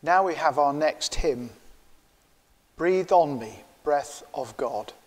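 A man's voice: a little high, lively intonation, takes it slowly. An older man with a British accent leading a church service, announcing a hymn and the creed in a formal tone. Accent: British English. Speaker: service leader. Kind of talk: leading worship. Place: church, at a lectern. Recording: long church reverb.

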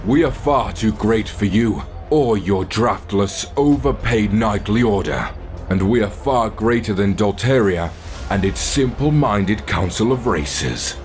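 A man performs an arrogant dark knight warlord from a fantasy audio drama. His low voice moves slowly, haughty and threatening, over prominent music. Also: expressive. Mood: gloating.

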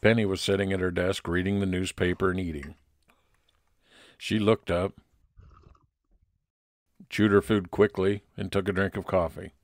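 A man playing a friendly, observant private investigator, narrating an old-time radio detective story in a measured way. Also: sits low, little pitch movement, dry recording, clean studio sound, close to an everyday voice. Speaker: narrator and lead actor.

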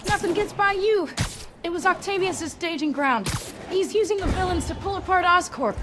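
A woman's voice: very high voice, moderate pace. A woman playing a wisecracking young female superhero in a video game, quippy and earnest. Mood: earnest, tired. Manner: quippy, animated. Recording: voice with music behind.

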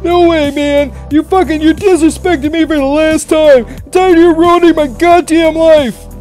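A man's voice: very high-pitched, fairly even intonation, normal speed, darkish tone. A man voicing a fed-up, indignant man cursing at his pal in a comedy sketch, over music. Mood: angry.

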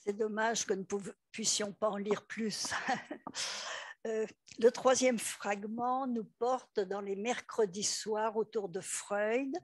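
An older woman introducing readings at an event, speaking in a halting way with fillers, into a handheld microphone in a conference room. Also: mid-range voice, dry recording. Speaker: event presenter.

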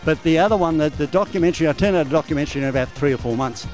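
A man's voice: fairly high pitch, very fast, a little mellow, faint music behind. A middle-aged man, a filmmaker, talking in a matter-of-fact way about his projects in front of an event backdrop.

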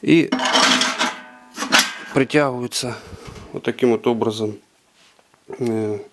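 A man giving an unscripted walkthrough of his home brewing equipment, talking haltingly with many filler sounds, recorded indoors on a handheld camera. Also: mid-range voice, noisy background. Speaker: home brewer. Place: indoor room with carpet.

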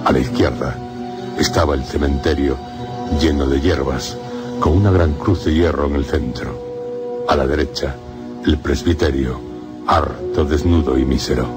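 A man narrating a gothic horror radio drama in a solemn, measured way. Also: a bass voice, steady tone. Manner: solemn, measured storytelling in short phrases. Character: grave male narrator of a gothic horror tale. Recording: music under the voice.

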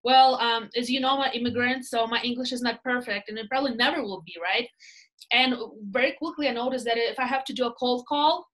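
Bright-voiced woman with a Russian accent. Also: fairly high pitch, fairly quick. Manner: animated storyteller, gestures with her hands, tags lines with "right?". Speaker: real estate team leader, guest. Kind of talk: casual interview chat. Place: small indoor room. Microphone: laptop webcam video call.

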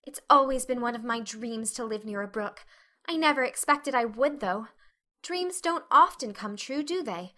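A woman's voice: on the high side, lively intonation, moderate pace, bright, forceful tone. A woman voicing a talkative, dreamy, dramatic orphan girl with an earnest, effusive manner in an audiobook. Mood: eager, happy.

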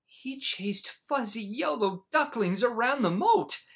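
Very animated man reading a storybook. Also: extremely high pitch, creaky, slight background noise. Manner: dramatic, exaggerated emphasis with pauses. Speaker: children's storyteller. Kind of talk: animated storybook read-aloud.

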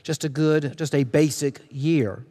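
Higher-voiced American preacher in a reverberant hall. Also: male voice, unhurried, warm tone. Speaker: pastor preaching. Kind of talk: sermon with storytelling. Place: church sanctuary. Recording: long reverberation of a large hall.

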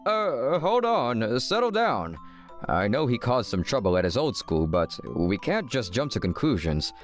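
A man's voice: medium pitch, big pitch swings, a little slow, faint music behind. A man voicing a hesitant, placating male schoolteacher in a manga dub. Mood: flustered, placating.

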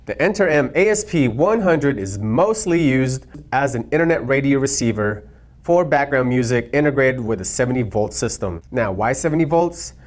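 Male host, 30s, mid-pitched voice, presenting products to camera in an upbeat way. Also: animated, normal speed.

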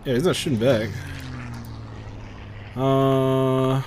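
A man's voice: medium pitch, little pitch movement, extremely slow, darkish tone. A man giving casual gameplay commentary, rambling and muttering to himself with offhand swearing. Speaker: gamer, let's-play commentator. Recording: gunfire and game noise behind voice. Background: war video game sounds, gunfire.

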